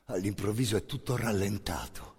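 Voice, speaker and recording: A man performing an intense theatrical monologue, narrating in emphatic short phrases with a hoarse, gravelly voice. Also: on the low side, expressive, normal speed, a little mellow. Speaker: stage actor narrating a monologue. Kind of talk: dramatic second-person theatrical narration.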